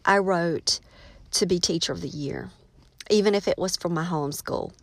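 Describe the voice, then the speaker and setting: A woman's voice: on the low side, moderate pace, gravelly, dry recording. Chatty middle-aged American woman, close dry sound. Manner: chatty, folksy storytelling, addressing listeners as friends. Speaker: podcast host, teacher and writer. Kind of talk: casual solo podcast monologue.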